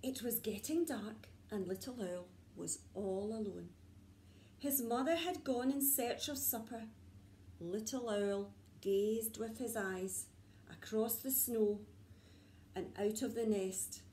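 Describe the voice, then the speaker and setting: A woman reading a story to young children like a nursery teacher, with dramatic pauses and repeated words for suspense. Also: fairly high pitch, mellow, a little hiss or hum. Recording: echoey room.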